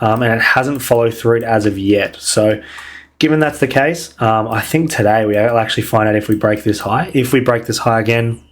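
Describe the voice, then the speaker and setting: A young man losing his voice and sounding croaky, casually walking through a weekly trading outlook with lots of ums. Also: mid-range voice. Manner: relaxed, filler-heavy, many ums. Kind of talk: casual market analysis over charts.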